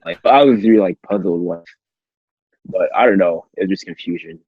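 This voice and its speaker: Teen guy from cameroon, french native, husky voice, halting casual talk, kinda muffled dry audio. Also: sits low, expressive, no echo. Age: teens. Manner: halting, repeats himself. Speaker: podcast guest. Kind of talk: casual chat, anecdotes.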